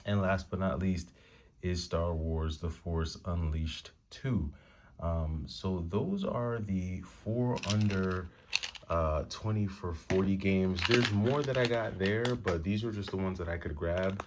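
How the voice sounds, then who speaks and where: A man with a deep, rumbling voice talking quietly at home late at night, casually showing the games he has picked up. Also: slow pace, slight background noise. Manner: quiet, hushed talk to avoid waking the family. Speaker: retro game collector vlogger. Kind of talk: casual haul show-and-tell.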